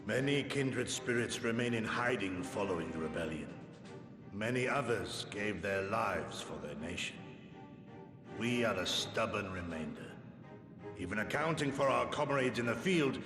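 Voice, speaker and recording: Old, proud warrior, animated, echoey hall. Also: male voice, fairly low pitch. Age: older. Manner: archaic, dignified, theatrical. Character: aged male resistance warrior, proud, formal, devoted to his master. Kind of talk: scripted game voice acting in archaic formal English. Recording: echoey hall reverb under clear music.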